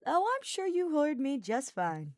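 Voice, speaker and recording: A woman's voice: sits high, very animated, a little slow, darkish tone, dry recording, clean studio sound, pitched above the performer's own voice, full character voice. A woman voicing a cocky, teasing young male knight in an audiobook, casual and slangy. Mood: playful teasing. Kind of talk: audiobook character voice.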